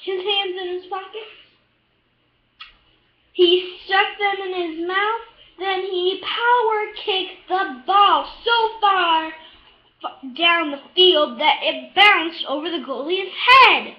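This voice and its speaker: A child reading a picture book aloud in a halting, expressive way, adding little asides to the listener. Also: very high voice. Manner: halting, expressive, with drawn-out words and excited shouts. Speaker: storybook reader. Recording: echoey room, slightly dull sound.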